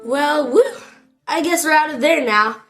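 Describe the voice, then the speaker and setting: A woman's voice: high-pitched, a little slow, fairly bright tone. A woman playing a chatty, jokey young friend on a quest, casual and flippant, in a fantasy audio drama. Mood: upbeat, joking. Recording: faint music behind the voice.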